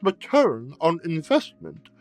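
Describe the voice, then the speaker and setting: A slow, deliberate actor voicing a fussy pedant in an audio drama. The pitch swings widely, with precise, logical phrasing over faint music. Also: sing-song, creaky, heavily acted. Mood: incredulous, pedantic.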